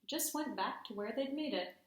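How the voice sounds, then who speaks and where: A woman teacher reading a storybook aloud to children, expressively, pausing to put questions to them. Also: sits high, expressive. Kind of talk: storybook read-aloud with class discussion. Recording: echoey room.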